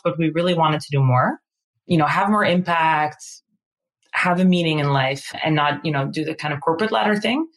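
A woman's voice: fairly low pitch, fairly bright tone. A woman in her thirties from the Netherlands, a company co-founder chatting casually in an interview and using lots of fillers. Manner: chatty, fillers like "you know".

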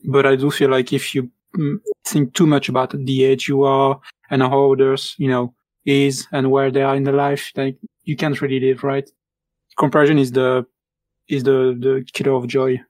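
A man in his late twenties who is a guest on a podcast and talks in a calm, reflective, measured way. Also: mid-range voice, dry recording. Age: 20s. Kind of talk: casual podcast chat.

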